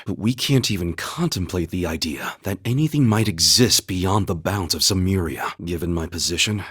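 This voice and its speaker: A steadfast young warrior-knight from a video game. His voice sits low with a breathy, husky grain. He speaks with solemn, measured formality and earnest devotion. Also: a bit bright, dry recording, studio quality, full character voice. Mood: grave, explanatory. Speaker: video game character voice actor. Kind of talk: dramatic English video game voice acting.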